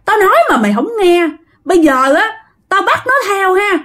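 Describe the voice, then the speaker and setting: A woman's voice: very high voice, lively intonation, moderate pace, fairly bright tone, voice pushed up. A woman voicing a cruel, demanding spirit that possesses a man, threatening crudely and repeating itself in a Southern Vietnamese accent. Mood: vengeful, taunting.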